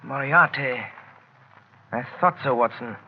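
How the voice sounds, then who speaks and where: An actor playing a shrewd, unflappable gentleman detective, suave and drily polite, in 1930s radio drama style. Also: medium pitch, a little slow, natural voice. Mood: brisk, intrigued. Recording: muffled, noisy 1930s radio recording.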